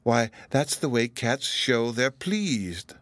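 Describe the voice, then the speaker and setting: A man voicing a young girl who is polite and gently corrects others. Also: medium pitch, sing-song, slow pace, no echo, pitched above the performer's own voice.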